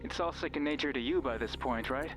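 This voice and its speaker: An actor playing a mysterious, controlling friend who coaxes and instructs the listener over a radio in a horror game. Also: male voice, mid-range voice, brisk, close to an everyday voice. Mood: instructing. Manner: instructing, coaxing, addresses listener directly. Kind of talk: horror visual novel voice acting. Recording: music behind the voice.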